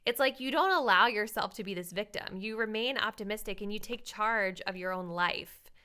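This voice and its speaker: A young woman reading tarot cards for her viewers in a chatty, thinking-aloud way, her voice a little raspy. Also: medium pitch, moderate pace, sharp tone. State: slightly raspy voice. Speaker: tarot reader.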